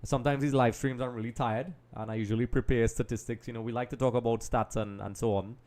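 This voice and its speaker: A man's voice: mid-range voice, fairly even intonation, fast talker, darkish tone. A man from Trinidad and Tobago hosts a live football watch-along, reading viewers' comments and analysing the match in a chatty, analytical way, often saying "um" and addressing "folks.". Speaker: livestream host.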